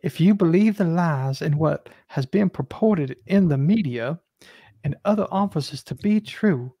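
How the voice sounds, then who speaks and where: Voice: mid-range voice, lively intonation, a little slow, dark tone, husky, dry recording. A reader with a dry comic delivery, moving between casual banter and dramatic email reading.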